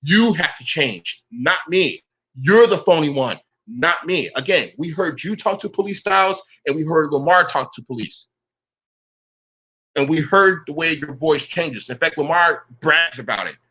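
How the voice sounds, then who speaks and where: A man's voice: a little high, expressive, measured pace, bright, forceful tone, slightly rough, rough recording. A man ranting on a vlog, confrontational and profane, firing "bro" at his rivals. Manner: says he keeps the same voice with everyone. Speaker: online commentator. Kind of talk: angry vlog rant.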